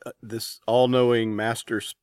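A man hosting a casual interview, speaking informally and haltingly as he rephrases his questions. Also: medium pitch, flat delivery, darkish tone, clear, resonant tone, no room sound. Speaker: interviewer, podcast host.

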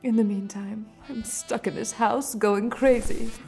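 Mellow-voiced sultry noir femme fatale. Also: female voice, fairly high pitch, fairly even intonation, unhurried, gravelly. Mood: wistful, confiding. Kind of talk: noir radio-drama acting. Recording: studio production with music underneath. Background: music.

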